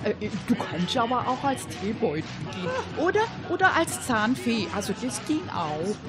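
A woman's voice: fairly high pitch, sing-song, moderate pace, music in the background. A woman playing a chatty, anxious older hobby detective in an amateur radio crime play. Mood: fussy, playful.

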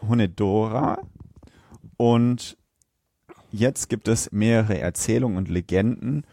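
A man with a German accent hosting a podcast, explaining facts in a casual, halting way. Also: lowish voice, dark tone, dry recording, studio quality. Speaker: podcast host.

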